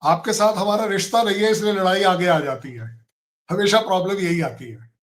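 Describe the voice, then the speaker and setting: This is a man from India, a retired colonel, debating as a guest on a talk show. His voice sits high for a man. He lays out his arguments emphatically, one numbered point after another. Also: moderate pace.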